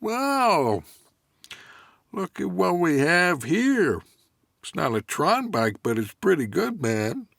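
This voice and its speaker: A man's voice: fairly high pitch, big pitch swings, takes it slowly, vocal fry, fairly bright tone, no room sound, clean studio sound, heavily acted. A man doing a comic movie-star impression as a swaggering, laid-back bully with a casual, menacing drawl. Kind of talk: comic table read celebrity impression.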